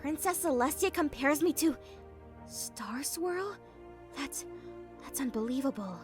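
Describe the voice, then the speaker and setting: An earnest young pony scholar , played by a woman in a cartoon dub. She is high-pitched and animated, anxious and questioning as a young leader. Faint music sits behind her. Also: unhurried. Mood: awed. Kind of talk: fan comic dub acting.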